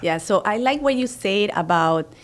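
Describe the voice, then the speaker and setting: A woman's voice: mid-range voice, expressive, moderate pace. A woman hosting a radio show, asking questions and chatting casually with her guests. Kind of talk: casual conversational interviewing.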